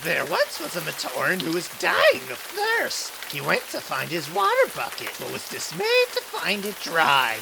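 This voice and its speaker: A man voicing a quirky, long-winded little storyteller in an animated fan dub, creaky and theatrical. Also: sits high, a little slow, few pauses, exaggerated voice. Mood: dramatic storytelling. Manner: theatrical, rambling. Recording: clear rain noise behind voice.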